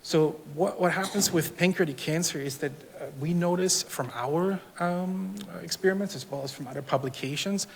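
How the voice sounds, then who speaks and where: A man with an Austrian accent talks to a public audience through a microphone, friendly and halting, with many fillers. His voice sits a little high for a man. The hall adds a long reverb and there is a faint hiss underneath. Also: gravelly. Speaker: cancer researcher. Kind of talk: public talk. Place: city hall event room. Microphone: microphone on stand.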